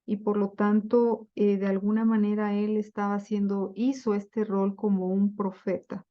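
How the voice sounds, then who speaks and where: A woman giving a slide-based lecture on Bible translation, speaking deliberately with frequent fillers and self-corrections. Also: medium pitch, little pitch movement, dull audio.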